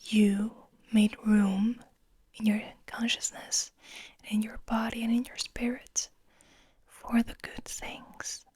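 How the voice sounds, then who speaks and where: A woman whispering breathily in a slow, soothing guided ASMR style, lingering on her words. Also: a little high, little pitch movement, darkish tone, slightly rough, no echo. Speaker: ASMR host.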